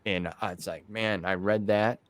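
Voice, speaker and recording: A man's voice: fairly low pitch, fairly even intonation, moderate pace, no echo. A man hosting a casual interview, talking in an informal, rambling way peppered with slang like "man" and "dude". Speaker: interview host. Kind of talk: casual conversational interview chat.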